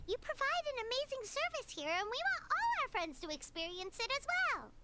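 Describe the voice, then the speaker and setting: A woman voicing a tiny, bubbly, outspoken fairy companion with a squeaky, childlike voice in a video game. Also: big pitch swings, a little fast, very noisy. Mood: chirpy.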